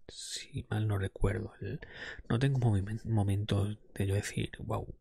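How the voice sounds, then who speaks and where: Male, ASMR host, soft breathy whispering, creaky fry, very dry close-mic sound, conversational, unhurried. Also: lowish voice, dry recording. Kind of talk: ASMR, improvised ranking of video games.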